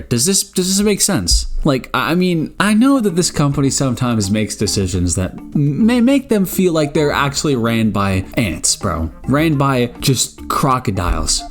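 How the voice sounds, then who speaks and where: Young man around nineteen, casual gaming commentator, rambling and stammering, mid-range voice, light music under him. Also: medium pitch. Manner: rambling, stammering restarts, lots of filler asides; animated, joking, exclaims "bro" and "baby".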